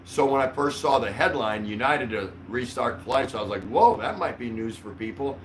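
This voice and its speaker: An older man hosts a relaxed livestream from a small indoor room. He rambles conversationally with a joking, mildly sweary manner. A light layer of background noise sits behind his voice. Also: medium pitch, normal speed, low-quality recording. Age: older, around 60. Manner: rambling, jokey, mildly profane. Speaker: livestream host. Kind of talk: casual livestream chat.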